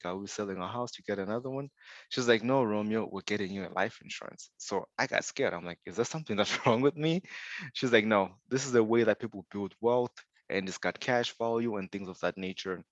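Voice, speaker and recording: A man's voice: lowish voice, a little fast, no room sound, dull audio. A young man from Zimbabwe giving an upbeat webinar presentation, telling stories with asides. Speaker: insurance sales director presenting.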